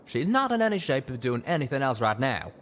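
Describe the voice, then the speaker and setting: A man's voice: mid-range voice, big pitch swings, a little fast, fairly bright tone, pitched above the performer's own voice. Man putting on a blunt stallion, raised voice. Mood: frank, concerned. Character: blunt, rough-and-ready male pony companion. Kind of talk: dramatised audiobook reading.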